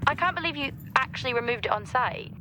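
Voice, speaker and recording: A woman playing a practical, teasing crewmate on a comms call in a video game, with a dry, brisk manner. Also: high-pitched, animated, sharp tone, slight background noise, poor audio. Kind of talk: naturalistic video game acting.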